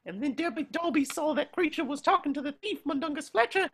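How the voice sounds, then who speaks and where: A woman's voice: sits high, expressive, fast, fairly bright tone. A woman voicing a small, devoted male house-elf who speaks earnestly of himself in the third person. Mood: earnest, eager. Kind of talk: amateur table read of a film screenplay. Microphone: video call. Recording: faint noise on a video call.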